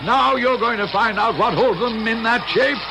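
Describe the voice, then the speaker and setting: A man's voice: very high-pitched, normal speed, creaky, background noise. An American actor playing an older, absent-minded professor in an old-time radio drama, stammering and repeating words as he exclaims. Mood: urgent.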